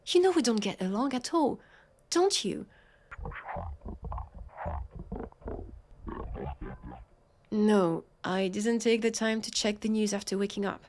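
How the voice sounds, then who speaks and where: Woman acting a vampire police officer, French accent, medium pitch, unhurried pace, exasperated, sardonic, audio roleplay. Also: close to an everyday voice. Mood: indignant. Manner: halting, sarcastic, exasperated.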